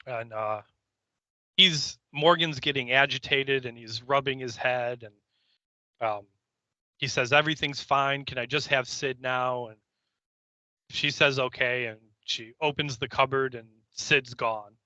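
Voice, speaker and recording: A man's voice: medium pitch, a little slow, pushed and bright, no echo, low-quality recording. A man co-hosting a podcast, casually recapping a story in a joking, sweary, rambling way. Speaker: podcast co-host. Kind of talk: casual recap of a TV episode, chatty banter.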